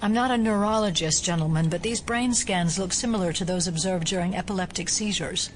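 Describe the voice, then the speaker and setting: A woman playing a skeptical FBI agent and doctor in an audio drama, speaking in a measured, clinical way. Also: lowish voice, a little hiss or hum, lightly acted. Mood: measured, inquiring.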